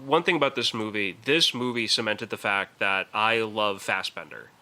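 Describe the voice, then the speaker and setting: A man's voice: lowish voice, moderate pace, pushed and bright. A man co-hosting a podcast and casually discussing films, emphatic and opinionated, often repeating words as he works toward his point. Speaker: podcast co-host.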